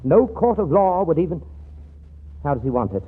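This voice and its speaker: A man's voice: fairly high pitch, very animated, moderate pace, husky. An actor playing a stuffy, earnest young millionaire in a 1940s radio comedy, formal, pompous and a little hesitant in his precise wording. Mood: indignant. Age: young adult. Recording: tinny, noisy 1940s radio broadcast.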